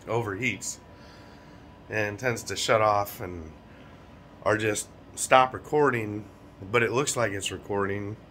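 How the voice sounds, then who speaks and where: Hoarse American man with a cold. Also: lowish voice, bright, forceful tone, slight background noise. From United States. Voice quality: raspy. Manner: loose, rambling, chuckles often. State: hoarse from a cold, stuffed nose. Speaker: vlogger. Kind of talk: casual vlog talk. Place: small room indoors. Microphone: phone camera held close.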